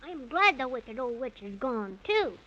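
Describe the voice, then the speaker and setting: Very high-voiced earnest little boy. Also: sing-song, a little slow, crowd noise. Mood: polite, anxious. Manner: polite, breathless wonder. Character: earnest, polite, wide-eyed little boy Jonathan Thomas. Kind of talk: old-time radio children's serial acting. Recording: muffled, noisy old radio broadcast recording.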